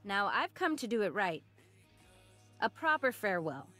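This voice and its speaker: A woman's voice: medium pitch, sing-song, moderate pace, bright, forceful tone. A woman playing a brash, easygoing young woman fighter in a video game cutscene, with casual, wry, slangy delivery. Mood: gentle, resolute. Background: music.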